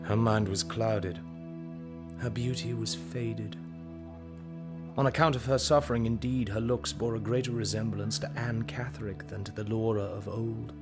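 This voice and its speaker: An actor with an English accent playing an earnest, devoted young Victorian art teacher in an audio drama, speaking in literary phrases. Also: male voice, fairly low pitch, steady tone, moderate pace, over music, natural voice. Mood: sombre, resolute. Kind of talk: audio drama narration and acting.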